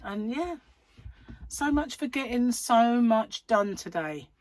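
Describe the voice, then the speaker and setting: A woman hosting a crochet channel, chatting in a rambling, self-mocking way at home while her voice is croaky from a cold. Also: a little high, lively intonation, takes it slowly, darkish tone, dry recording. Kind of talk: casual chatty vlog. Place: at home, seated in a chair.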